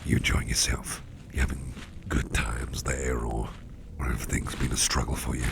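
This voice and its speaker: A man playing a mischievous businessman boss in an intimate ASMR roleplay, murmuring in a halting, gravelly half-whisper. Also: rumbling low, level tone, background noise, heavily acted. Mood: warm, reassuring. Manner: slow, halting, repeating phrases, softly chuckling. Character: mischievous, toothy businessman boss, affectionate and covert.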